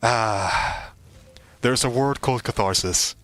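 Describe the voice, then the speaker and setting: A man's voice: medium pitch, slow pace, a bit bright, no room sound. A young man giving casual, playfully dramatic live commentary as he streams a video game. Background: game music.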